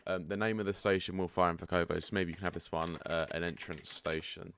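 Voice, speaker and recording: A man with a croaky, just-woken-up voice giving a relaxed, chatty walkthrough of a software setup. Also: deep voice, fast talker. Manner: relaxed and chatty, frank asides. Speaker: product developer giving a setup tutorial. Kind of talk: casual screen-share walkthrough.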